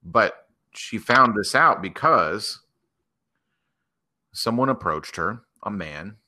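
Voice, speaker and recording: Deep, bright-voiced man telling a story. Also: animated, slow. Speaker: podcast guest. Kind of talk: improvised storytelling.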